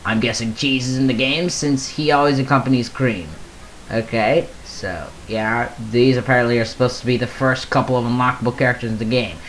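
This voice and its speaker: A gaming video creator giving casual commentary and reading a post aloud, halting and stumbling over words now and then. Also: mid-range voice, steady tone, a little hiss or hum, poor audio.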